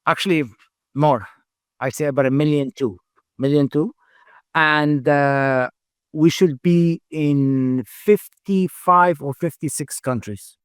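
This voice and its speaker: A middle-aged man, a company founder, speaking English as a second language in a halting interview answer style, full of fillers and repeated words. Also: fairly high pitch, steady tone, clean tone, no echo, clean studio sound. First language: not English. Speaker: founder and CEO, podcast guest.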